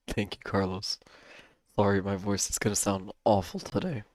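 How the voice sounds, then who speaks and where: Hoarse man talking through a cough drop. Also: fairly low pitch, steady tone, a little slow, darkish tone, no room sound. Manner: apologetic, talks with a cough drop in mouth, clears throat. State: hoarse, losing voice. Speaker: livestream day-trading host. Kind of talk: casual live-stream market talk.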